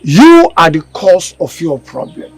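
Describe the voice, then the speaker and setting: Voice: medium pitch, very animated, measured pace. An actor with a Nigerian accent playing a stern accuser who rebukes a king in a declamatory, scolding way in a melodramatic film. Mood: accusing. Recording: faint music behind dialogue.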